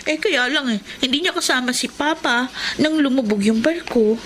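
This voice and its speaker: Radio drama schoolgirl daughter, played by a woman: Filipino, sweet, hopeful, high-pitched, noisy sound. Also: moderate pace, close to an everyday voice. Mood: wistful.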